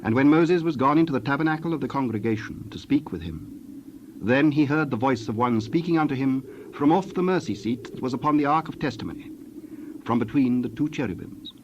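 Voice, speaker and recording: A man with a medium-pitched voice narrates scripture for an audio dramatization. His delivery is formal and measured. The recording is slightly dull, with faint noise behind him. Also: lively intonation.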